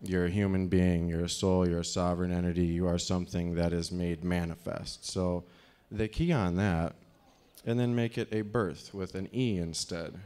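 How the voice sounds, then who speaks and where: A man in his thirties with a very low, deep voice speaks into a handheld microphone on a dark stage. He rambles through a live talk, leaning on fillers and restarts as he goes. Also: steady tone, moderate pace, smooth. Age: 30s.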